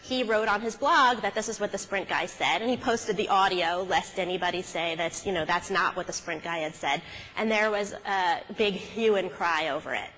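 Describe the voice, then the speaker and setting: A woman speaking as a keynote speaker at a conference, telling case stories conversationally with halting self-corrections, from a podium on an auditorium stage. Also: fairly low pitch, fairly bright tone, slightly rough. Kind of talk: conference talk, conversational storytelling. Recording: hall reverb from stage sound system.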